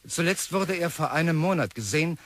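An actor playing a formal reader of newspaper reports in a radio drama, factual in manner. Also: male voice, a little high, animated, brisk, fairly bright tone, no echo. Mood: matter-of-fact. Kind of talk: scripted news-report reading in radio drama.

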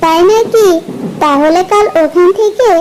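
Shrill, insistent village wife. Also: female voice, a little slow, slight background noise. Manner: insistent, scolding. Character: nagging stubborn village wife. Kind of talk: cartoon dubbing.

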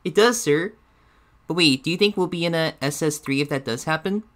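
A man's voice: on the high side, fairly quick, fairly bright tone, natural voice. A man voicing a deferential, slightly unsure shadow bear sidekick who gives reaction commentary. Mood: mild, deferential. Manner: deferential, hesitant, obliging.

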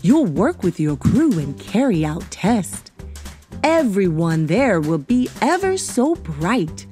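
A woman voicing a grand, kindly fairy godmother in a storybook, encouraging and measured. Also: medium pitch, sing-song, mellow, faint music behind, pitched below the performer's own voice.

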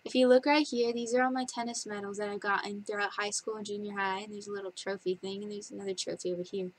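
A woman's voice: medium pitch, level tone, fast. Chatty young woman rambling into a webcam. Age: 20s. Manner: chatty, giggly, rambling with many fillers. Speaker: vlogger. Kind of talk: casual facts-about-me vlog. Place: bedroom, indoors. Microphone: webcam at desk distance.

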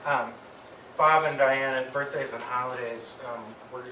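A middle-aged man giving an affectionate eulogy to an audience, speaking haltingly with pauses and repeated words. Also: mid-range voice, steady tone, bright, forceful tone. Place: medium-sized indoor hall with audience. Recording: distant mic, echoey room, clear background noise.

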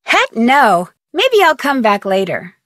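A woman's voice: on the high side, wildly swooping pitch, takes it slowly, a bit bright, no echo, clean studio sound, full character voice. A young woman voicing an inquisitive, plucky amateur detective in a video game, talking conversationally as if on the phone. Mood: casual.

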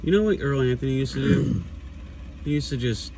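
Young male bowler, medium pitch, playful ribbing, car cabin with steady road rumble. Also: fairly quick. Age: young adult. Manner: playful, teasing. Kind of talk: casual chat. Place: inside a car. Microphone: phone camera at arm's length. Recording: car road noise behind.

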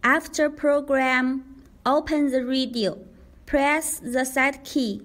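A woman reading a scripted product tutorial in a careful, deliberate way as a demonstrator. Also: high-pitched, fairly even intonation, fairly bright tone.

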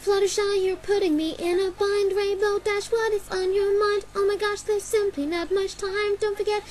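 A woman's voice: extremely high pitch, little pitch movement, normal speed, a little mellow. A woman singing an amateur fan cover of a musical cartoon song, with music behind her. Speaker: fan singer and dubber.

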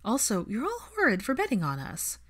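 A woman voicing a dry, guarded but quietly happy young woman with clipped, wry replies in an audiobook. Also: fairly high pitch, wildly swooping pitch, brisk, voice pushed up, full character voice. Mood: wry, flustered.

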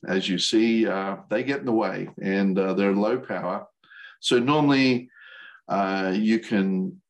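Low, slow-talking older American man. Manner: hesitant, halting delivery. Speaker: volunteer instructor, radio operator. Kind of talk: informal slide presentation. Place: video call.

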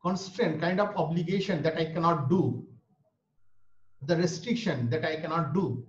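A man's voice: a little high, moderate pace. A man with an Indian English accent, a native Bengali speaker, giving an online lecture and explaining each point twice. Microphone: webcam or laptop mic on a video call. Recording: roomy, dull video-call audio.

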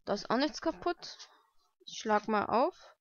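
A woman's voice: a little high, animated, unhurried. A young woman chatting casually and thinking aloud as she gives informal unboxing commentary at home. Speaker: vlogger unboxing mail-order books. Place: lived-in room at home, indoors. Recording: dull lo-fi home recording.

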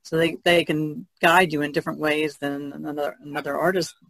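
A woman's voice: sits low, flat delivery, fairly quick, creaky, a bit bright, poor audio. A woman artist talking casually as a panelist in a podcast discussion.

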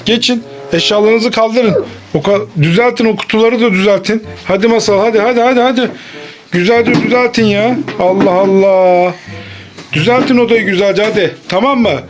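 A woman's voice: mid-range voice, normal speed, soft background music. A woman improvising playful pretend play with children, exclaiming and repeating her instructions.

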